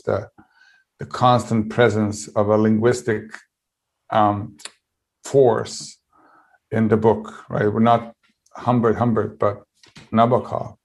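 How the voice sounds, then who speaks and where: Bosnian-accented man, 50s, low voice, panelist telling a thoughtful story with pauses. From Bosnia and Herzegovina. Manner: thoughtful, with many pauses and restarts. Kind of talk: reflective storytelling.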